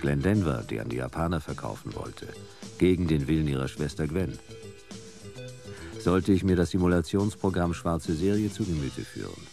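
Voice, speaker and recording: A world-weary noir detective with an extremely low, creaky voice. He speaks in clipped, deadpan fragments as a radio-play narrator, with music behind him. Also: normal speed, exaggerated voice. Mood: brooding, laconic. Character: laconic hard-boiled private detective, wry and weary. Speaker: narrator and lead character. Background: music and vehicle sound effects.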